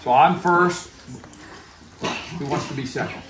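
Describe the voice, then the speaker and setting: A man's voice: a little high, measured pace. In an echoey space with heavy background noise, a man hosts an informal gathering. He speaks in a folksy, joking way, as if telling stories to his congregation. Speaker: host of a church gathering. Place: barn gathering. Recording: echoey, noisy room with loud crowd. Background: crowd and noise.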